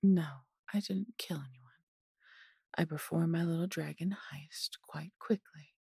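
A woman voicing a large, possessive but doting female dragon in an intimate fantasy audio roleplay, coaxing and teasing in a breathy, husky voice. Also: deep voice, fairly even intonation, a little slow, mellow, no echo, clean studio sound, full character voice. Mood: playful, proud.

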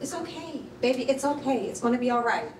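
A woman's voice: lively intonation, quick pace, a little mellow, lightly acted. A woman playing a stressed, strict mother of a schoolgirl in a staged reading. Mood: soothing. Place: concrete rehearsal hall, live staged reading. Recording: roomy, distant mic, noisy rumble.

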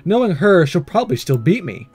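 A man voicing an earnest, self-doubting teenage schoolboy's inner thoughts in a visual novel, read softly and reflectively. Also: high-pitched, very animated, a little fast, husky, faint music behind, heavily acted. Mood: determined, conflicted.

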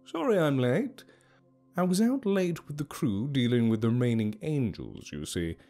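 Man playing a jovial, courtly older gentleman. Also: medium pitch, sing-song, normal speed, faint music behind, pitched above the performer's own voice.

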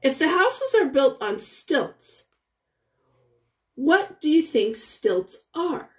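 A woman teacher reads a picture book to young children in a high voice that rises and falls widely. She speaks in short, deliberate phrases and stops to ask the listeners questions. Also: very animated. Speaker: teacher reading aloud to children.

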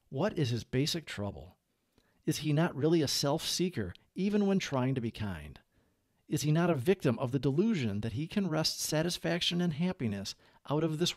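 Expressive man reading a book aloud. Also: mid-range voice, no room sound, clean studio sound. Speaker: audiobook reader. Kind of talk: read-aloud narration of a book.

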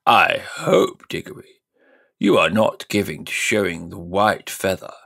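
A shrill, pompous old magician. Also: male voice, wildly swooping pitch, a little slow, creaky, pushed and bright, slightly rough, full character voice. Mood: haughty, blustering. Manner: high, blustering, haughty and indignant. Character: pompous, cruel elderly male magician uncle. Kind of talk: audiobook character voice.

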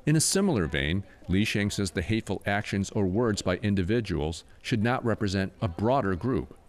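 Male American news reporter, low voice, very varied intonation, scripted narration over music. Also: animated, moderate pace.

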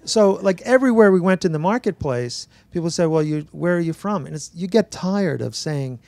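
An older man, an author at a bookstore talk, telling stories to an audience on a handheld mic, animated and acting out dialogue with frequent restarts. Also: sits high, moderate pace, gravelly, dry recording. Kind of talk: relaxed storytelling to an audience. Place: bookstore event space.

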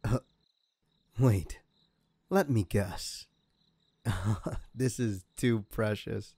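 A man playing a slow, smug low-voiced villain. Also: darkish tone, slightly rough, no room sound, heavily acted. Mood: mocking amusement. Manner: mocking, theatrical, drawn-out delivery. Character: male villain crime kidnapper, smugly menacing and vengeful. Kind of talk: scripted ASMR audio roleplay.

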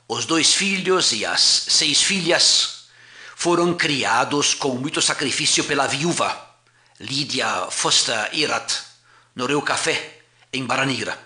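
A pastor narrates a documentary history in a measured, formal reading voice. His tone is bright and forceful, pitched a little high for a man. The room adds a clear echo. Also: animated.

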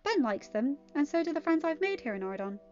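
A woman reading as a high-voiced, defiant teenage girl. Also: sing-song, fast talker, darkish tone, lo-fi sound, soft background music. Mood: polite but irritated. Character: irritated, sarcastic teenage girl with magic powers.

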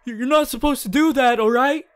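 A man's voice: extremely high pitch, brisk, clear, resonant tone. A man voicing a strict, earnest teenage class president, formal and preachy, in an audio roleplay. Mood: flustered, exasperated. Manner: formal, preachy, exasperated, stiff phrasing. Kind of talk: ASMR character audio roleplay monologue.

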